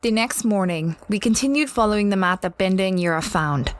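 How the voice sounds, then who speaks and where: A woman playing a kind, curious space explorer who narrates and talks gently and earnestly in an audio drama. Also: medium pitch, lively intonation, fairly quick, natural voice. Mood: reflective. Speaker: narrator and lead character. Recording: insect-filled jungle noise behind.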